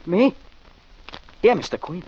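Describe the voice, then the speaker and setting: A man's voice: high-pitched, sing-song, takes it slowly, a little mellow, a little hiss or hum, heavily acted. An actor playing a brash, impressionable teenage street-gang boy with slangy talk in a 1940s radio play. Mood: brash, excited. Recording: muffled 1940s radio recording.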